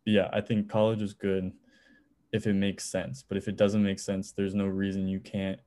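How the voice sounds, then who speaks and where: A young man in college, a music producer, talking as a podcast guest in a relaxed, rambling way. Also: low-pitched, normal speed. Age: young adult. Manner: relaxed, rambling, many "it's like" fillers. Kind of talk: casual interview chat.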